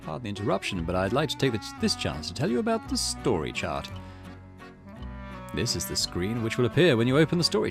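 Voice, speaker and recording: Man voicing a courteous, knowing storyteller, lively pitch. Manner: formal, measured, polite. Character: calm, courteous, knowing storyteller who addresses the player. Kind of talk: visual novel narration read aloud.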